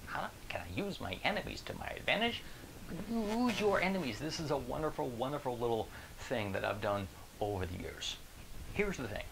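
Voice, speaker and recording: A middle-aged American man speaks like a keynote motivator, casual and emphatic, tossing out questions to the listener. His voice has a gravelly creak, with a faint bed of room noise behind it. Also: medium pitch, moderate pace. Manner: animated, conversational, emphatic, rhetorical questions. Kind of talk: casual motivational video talk to camera. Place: small indoor room. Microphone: lapel.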